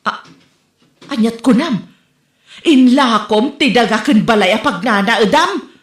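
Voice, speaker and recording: A woman's voice: on the high side, expressive, measured pace. A woman playing a scolding, exasperated sister with an Ilocano accent in a comedy drama. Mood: nagging. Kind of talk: Ilocano comedy radio-style drama acting. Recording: roomy echo.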